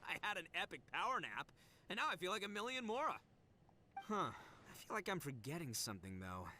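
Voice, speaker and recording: Brash young gang boss, loud, cocky, bright tone, swinging pitch, game music. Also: male voice, very animated, fairly quick, a little hiss or hum, heavily acted. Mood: groggy, puzzled.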